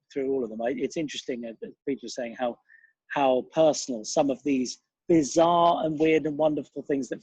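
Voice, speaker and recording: A man's voice: mid-range voice, darkish tone, breathy edge, no echo, poor audio. A middle-aged man, reflecting casually in an interview in a rambling, thoughtful, self-deprecating way. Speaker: interview guest, writer, director and actor.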